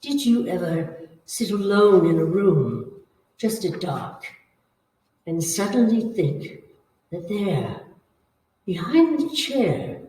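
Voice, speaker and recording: Female ghost, played by an actress, taunting and commanding, swooping pitch, distant echoey sound. Radio horror play. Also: mellow. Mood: eerie, sinister. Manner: slow, commanding, taunting, theatrical. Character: menacing, coaxing female ghost hungry for blood and life. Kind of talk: old-time radio horror acting. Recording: echoey room, far from the mic.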